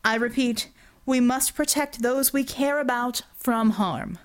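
Woman as a slow, smooth-talking male boss. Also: a little high, steady tone, pitched above the performer's own voice, lightly acted. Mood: grandiose, measured. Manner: slow, politician-like oratory.

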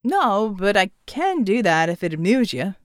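A woman reader plays a brash male scoundrel in an audiobook story. He talks in loose, excitable, slangy bursts, boozy and playful with an exasperated edge, in a very dry recording. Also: medium pitch, moderate pace, no echo, close to an everyday voice. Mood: tipsy, rueful.

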